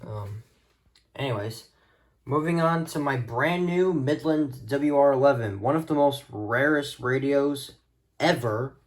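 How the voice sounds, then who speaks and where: A man's voice: mid-range voice, measured pace. A man talking informally and offhand as he shows off a collection, in an unscripted hobby video. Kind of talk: casual showcase of a radio collection, unscripted.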